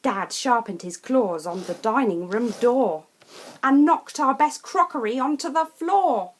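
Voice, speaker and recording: A woman storyteller reads rhyming verse for children. Her voice is high and swoops widely, and she takes her time over each phrase with theatrical emphasis. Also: animated, measured pace, a little hiss or hum. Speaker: storyteller reading a children's picture book. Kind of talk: expressive rhyming storybook narration.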